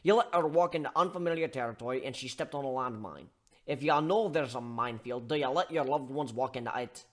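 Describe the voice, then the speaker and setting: A man voicing a gruff, scolding barkeep who gives blunt tough-love lectures in folksy slang in a dramatic story reading. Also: medium pitch, brisk, lightly acted. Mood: blunt, lecturing.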